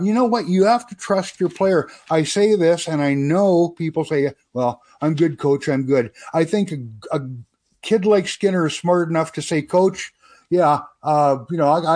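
A man's voice: fairly high pitch, a little mellow. A man giving his opinions as a commentator in a casual, folksy sports radio discussion. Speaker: sports writer and commentator guest.

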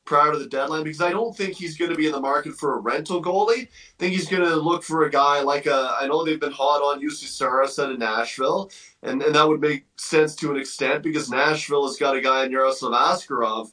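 A man's voice: on the high side, steady tone, fairly quick, sharp tone, far from the mic, poor audio. A man giving opinionated hockey analysis as a guest on a sports radio interview, conversational and emphatic, thinking aloud as he goes.

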